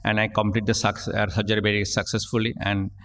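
A middle-aged man, an eye surgeon, giving a technical conference lecture at a podium microphone, halting with many fillers and restarts. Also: fairly low pitch, completely flat delivery, a bit bright. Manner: halting, frequent fillers and restarts, reading at a lectern. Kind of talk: technical lecture narrating surgical video. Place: large conference hall, at a podium. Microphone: podium gooseneck microphone. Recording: long hall reverb.

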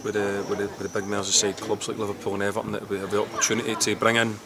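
Middle-aged football manager, halting. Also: male voice, on the low side, little pitch movement. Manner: halting, repeats words while thinking. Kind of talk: post-match interview. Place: outdoors at a football ground. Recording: loud outdoor background noise.

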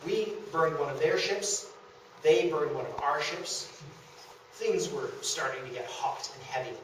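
A man's voice: on the high side, measured pace. A young man giving a prepared history lecture to the public. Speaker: presenter of a local history talk. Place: indoor meeting room in a historic house. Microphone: distant camera microphone. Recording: echoey room from far off, faint noise.

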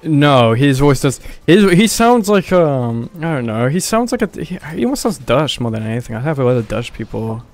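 A man's voice: mid-range voice, sing-song, moderate pace, few pauses. A man hosting a casual gaming livestream, talking in a hesitant, mumbly way with lots of swearing. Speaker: livestream host. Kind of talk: casual gaming stream chat.

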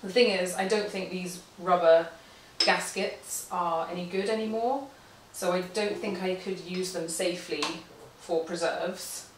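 A woman with a fairly low voice narrates a slow-living vlog. She speaks plainly and without hurry. There is some background noise behind her. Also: fairly even intonation. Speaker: vlogger. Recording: background noise, at times roomy and distant.

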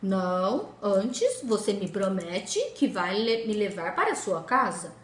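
A crafty, bargaining storybook frog with a lowered, coaxing voice, as a woman acts him out. He makes his deals slowly and slyly. The room is echoey. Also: lively intonation, close to an everyday voice. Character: sly bargaining male frog.

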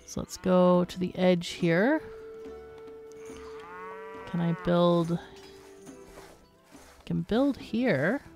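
A woman's voice: fairly low pitch, a little mellow. A woman gives casual, unscripted game commentary, thinking aloud and asking herself questions as she plays. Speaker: gaming video host.

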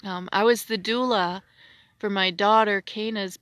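An older woman, a former nurse, talking softly and soothingly as co-host of a casual lakeside livestream. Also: mid-range voice, fairly even intonation, measured pace. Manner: soft, gentle, soothing way of talking.

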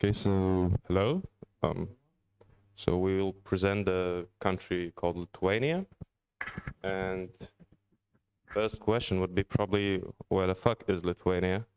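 A man's voice: low-pitched, level tone, measured pace, darkish tone, a little hiss or hum, rough recording. A young man casually presenting a country to an audience, with hesitant ums. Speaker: student presenter. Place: indoor room.

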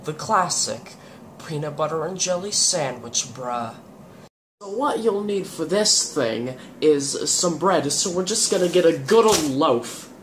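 A man's voice: on the high side, expressive, a little slow. A teenage young man casually hosting a homemade cooking show in a laid-back, goofy, slangy way, showing each step in a home kitchen. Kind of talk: casual vlog presenting, demonstrating steps. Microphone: camera on the counter, about a metre away. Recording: clearly noisy homemade camera audio.

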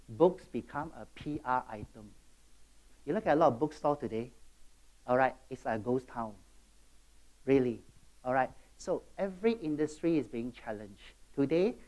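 A middle-aged man with a Singaporean English accent giving a motivational keynote, jumping between loud bursts and quiet asides and checking in with the audience. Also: a little high. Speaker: keynote speaker.

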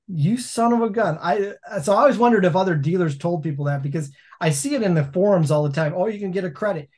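A man in his forties, a laundromat owner telling stories in a casual interview over a video call from a home office. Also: high-pitched. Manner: storytelling, animated hand gestures.

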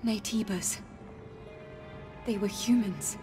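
Game role: stoic young female warrior, acted by a woman, restrained and serious, slightly distant, music clearly behind. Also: medium pitch, slow, natural voice. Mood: grave realization. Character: composed, stoic young female android soldier. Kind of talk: video game voice acting.

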